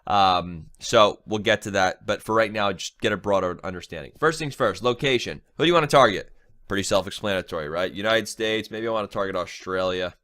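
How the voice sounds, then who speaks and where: Young guy w a cold, kinda nasal, bright voice, casual chatty tutorial, keeps saying right?. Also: on the low side, fairly quick. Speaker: online marketing trainer. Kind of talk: screen-share tutorial.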